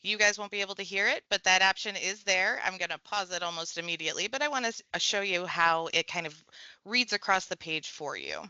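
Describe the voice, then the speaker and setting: A woman with a bright, forceful voice talks a viewer through a software tutorial one click at a time. Her delivery is casual and conversational. The recording is dry and a little dull. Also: on the low side, expressive, a little fast, smooth, dry recording. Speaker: tech trainer. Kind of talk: screen-share software tutorial.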